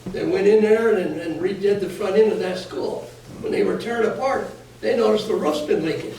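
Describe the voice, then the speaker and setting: A man's voice: high-pitched, normal speed, warm tone. An American man answering residents as an official at a community meeting, explaining things in a plainspoken, folksy way. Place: indoor community meeting room, recorded from afar. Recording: echoey room, distant mic, faint noise.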